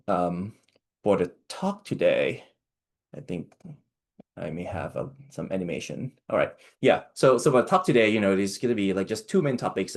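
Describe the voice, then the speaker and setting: Young adult man from Thailand, low voice, halting seminar delivery full of fillers. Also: darkish tone. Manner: halting, many fillers and restarts. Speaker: postdoctoral researcher giving a talk. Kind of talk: online seminar talk.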